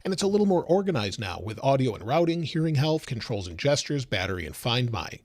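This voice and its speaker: A man demonstrates software in a dry, close-sounding recording. His intonation moves in lively swings while he explains each step in a steady, guiding way. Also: medium pitch, quick pace, dry recording. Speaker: tech reviewer demonstrating software. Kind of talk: screen-recorded software walkthrough.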